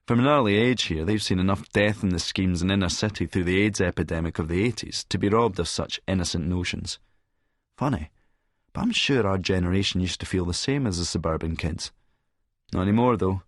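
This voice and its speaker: A man's voice: low-pitched, no echo, clean studio sound. A man playing a cynical middle-aged Scottish schemer who narrates in a verbose, sardonic, lecturing manner. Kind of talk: first-person audiobook narration with dialogue.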